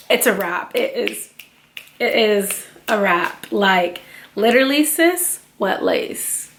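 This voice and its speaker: Young woman host, casual beauty-vlog chatter, loose slangy delivery, voice a bit under the weather, mid pitch. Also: creaky. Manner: chatty and slangy, addresses viewers as sis and y'all. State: feeling sick, voice slightly off. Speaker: beauty YouTuber reviewing a wig. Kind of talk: casual product-review vlog.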